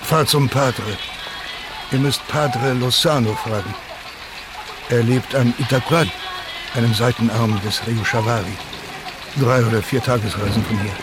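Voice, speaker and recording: A man acting as a guarded riverboat captain from a radio drama. He is terse and probing, with a mid-range voice set in clearly audible ambient noise. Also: mid-range voice, fairly even intonation, measured pace, pauses often, close to an everyday voice. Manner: terse, questioning.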